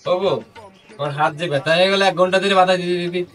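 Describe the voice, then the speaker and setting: A man acting a henpecked rural husband in a comedy skit. His high, sharp voice comes quickly from off the mic, with faint music. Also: far from the mic. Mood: pleading. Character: boastful village husband who is ordered around by his wife.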